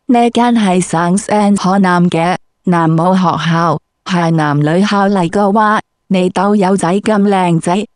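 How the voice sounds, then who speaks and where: Woman, brash and profane comic interviewer, mid pitch, dry recording. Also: darkish tone, no room sound. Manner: foul-mouthed, brash. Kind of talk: crude comic interview, scripted.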